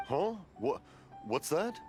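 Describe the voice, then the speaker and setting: Rambling old dad with wildly swooping voice. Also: male voice, on the high side, sing-song, music in the background. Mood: confused, cheerful. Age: older. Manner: storytelling, meandering, halting. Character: rambling, hard-of-hearing old father, genial fireworks maker. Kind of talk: video game voice acting.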